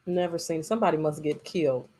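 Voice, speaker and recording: A woman co-hosting a casual film review chat, speaking in an informal, slangy and expressive way. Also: low-pitched, fast. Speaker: podcast co-host.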